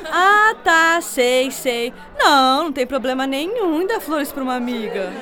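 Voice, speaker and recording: A woman's voice: sits high, very animated, unhurried, bright, forceful tone, heavily acted. A woman playing a sarcastic, taunting schoolgirl in a radio drama. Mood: mocking. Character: Paty, sarcastic teasing schoolgirl.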